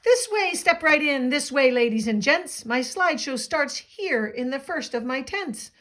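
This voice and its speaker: A woman reading a storybook as an eager, boastful young boy playing circus showman, proclaiming excitedly in rhyme. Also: high voice, measured pace, voice pushed up. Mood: grand, excited.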